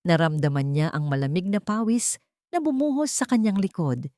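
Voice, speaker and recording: A woman's voice: on the low side, expressive, moderate pace, dark tone, no room sound, clean studio sound. A woman narrator reading a scripted, dramatic romantic story.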